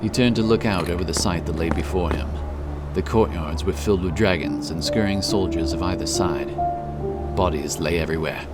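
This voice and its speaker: A man's voice: sits low, brisk. A man reading a fantasy audiobook as narrator, in a dramatic scripted style. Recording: loud epic music behind the voice. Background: loud epic music.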